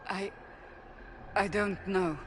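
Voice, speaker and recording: An actress plays a devout warrior woman in a fantasy game. She speaks slowly and gravely, guarded and formal. Clear ambient noise runs behind her. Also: medium pitch, fairly even intonation, lightly acted. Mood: awed, wary. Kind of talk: video game cutscene acting.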